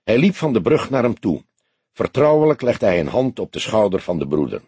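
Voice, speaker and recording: Male audiobook reader, scripted story, lowish n husky, very dry sound. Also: very animated, fairly quick, a little mellow, no room sound. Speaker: audiobook narrator.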